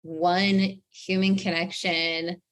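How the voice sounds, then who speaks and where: A woman's voice: on the low side, level tone, slow, poor audio. Emphatic American woman coaching in an interview. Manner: emphatic, asks rhetorical questions. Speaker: guest, personal branding coach.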